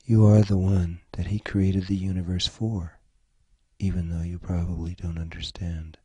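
Low, husky man guiding a meditation. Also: little pitch movement, moderate pace, mellow, dry recording. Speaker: guided meditation leader. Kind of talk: slow guided meditation narration.

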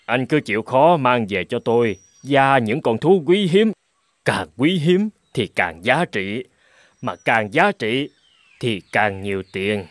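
A man playing a big, crafty fur trader in an animated folk tale, with a measured, drawling, persuasive delivery. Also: mid-range voice, dry recording. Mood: sly, flattering. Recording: music behind the voice.

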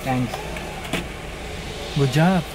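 A man's voice: mid-range voice, extremely slow. A young man chatting casually and ordering from inside a car, picked up by the camera's microphone. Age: young adult. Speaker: prankster ordering at drive-thrus. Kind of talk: casual candid prank vlog. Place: inside a car at drive-thru windows. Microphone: camera mic in the car. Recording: loud music, car noise.

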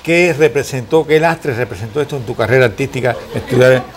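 A man's voice: medium pitch, quick pace, a little hiss or hum. An older man hosting a TV interview in a studio on a lapel mic, with a joking, irreverent manner. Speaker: talk show host. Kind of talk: humorous TV interview banter. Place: indoor TV studio at a desk.

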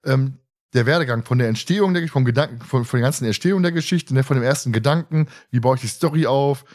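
A man's voice: mid-range voice, fast talker, a little mellow. A man hosting a casual fan podcast, talking in a rambling way and often repeating words and phrases. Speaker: podcast host.

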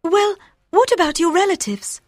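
A woman voicing a tender, emotional gentlewoman in love, earnest and pleading, in an audiobook. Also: extremely high pitch, normal speed, no room sound, studio quality, pitched above the performer's own voice. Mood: concerned.

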